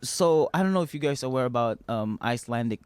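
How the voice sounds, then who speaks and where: A man co-hosting a casual movie review chat, animated and laughing often, with many fillers. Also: medium pitch, quick pace, clean tone, no room sound. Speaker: podcast co-host.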